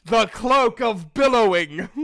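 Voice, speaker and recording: A game master improvising narration for a tabletop game in an informal, halting manner. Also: mid-range voice, animated, a bit bright.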